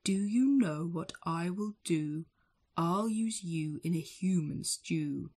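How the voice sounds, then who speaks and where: A woman's voice: expressive, slow, breathy edge, heavily acted. A woman voicing a huge, menacing male giant who bellows threats in a storybook reading. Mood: menacing roar. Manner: booming, shouted threats.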